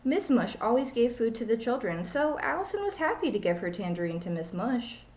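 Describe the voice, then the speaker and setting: A woman's voice: on the high side, big pitch swings, a little fast, darkish tone. A woman reads a children's storybook aloud like a teacher. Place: small home room, indoors. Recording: echoey room, webcam mic.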